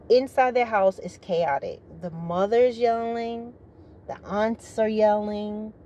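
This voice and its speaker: A middle-aged woman, a retired soldier, with a naturally deep, rich voice, speaking in a measured, formal way, as if giving a briefing. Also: dark tone, a little hiss or hum. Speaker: retired military vlogger. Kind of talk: opinionated monologue to camera.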